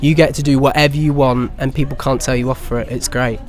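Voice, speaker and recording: Medium-pitched young English man. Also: steady tone, fast talker. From Bournemouth, England. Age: 20s. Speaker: pantomime actor. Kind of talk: casual interview answers.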